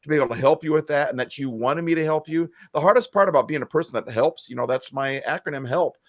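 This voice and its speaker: A man's voice: on the high side, fast talker, no echo, studio quality. A man co-hosting a podcast over a video call, talking earnestly and emotionally about helping people. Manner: earnest, emotional, self-described feeler. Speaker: podcast co-host. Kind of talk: casual video-call chat.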